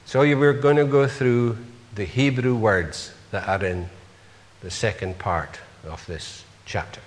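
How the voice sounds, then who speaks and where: A man's voice: on the low side, unhurried, long reverb tail. A man preaching a sermon, earnestly putting rhetorical questions to his congregation.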